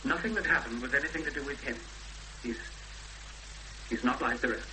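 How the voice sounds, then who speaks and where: An actor playing a wary, guarded man of the valley in a 1970s British radio drama, speaking in halting, clipped sentences. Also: medium pitch, lightly acted. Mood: wary, tense. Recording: noisy hissy 1970s radio recording.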